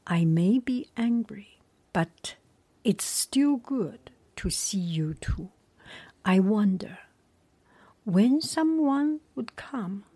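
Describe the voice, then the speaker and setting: A woman's voice: medium pitch, expressive, drawn-out words, dark tone, husky, dry recording, exaggerated voice. A woman whispering the lines of a proud, sulking young Greek hero in a storybook reading. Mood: bitter.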